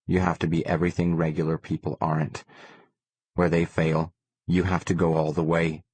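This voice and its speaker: A man's voice: sits low, level tone, moderate pace, a little mellow, slightly rough. A man reading a first-person novel as an audiobook narrator.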